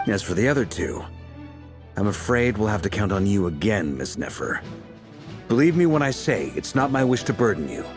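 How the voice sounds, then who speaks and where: Polite thoughtful strategist in a game, lowish voice that moves around a lot, music playing behind. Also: male voice, very animated. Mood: serious, courteous. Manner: polite, deliberate, formal. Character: courteous, earnest male strategist, thoughtful and faintly naive. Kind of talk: video game voice acting.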